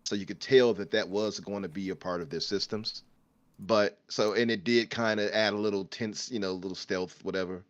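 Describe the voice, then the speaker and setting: A man's voice: fairly low pitch, fairly even intonation, normal speed, no room sound, muffled recording. A man guest on a gaming podcast, agreeable and hesitant, stumbling over words. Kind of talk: casual gaming podcast chat.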